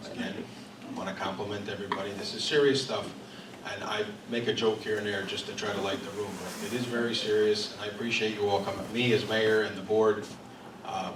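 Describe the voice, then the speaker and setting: A man serving as mayor, chairing a public meeting in a calm, measured, procedural way. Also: fairly low pitch. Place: village meeting room. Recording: echoey meeting hall, far from mic, noisy.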